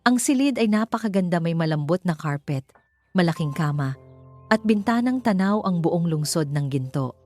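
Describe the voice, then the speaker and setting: A woman narrates a dramatic love story from a script. Her voice sits a little low, and music plays behind her. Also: expressive, moderate pace, darkish tone, dry recording.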